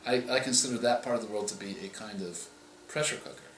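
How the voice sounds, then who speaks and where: A male author answering interview questions, explaining in a measured way and often restarting his phrases. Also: lowish voice, a bit bright, slight background noise. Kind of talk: interview answers on writing craft. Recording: echoey room with faint ambience.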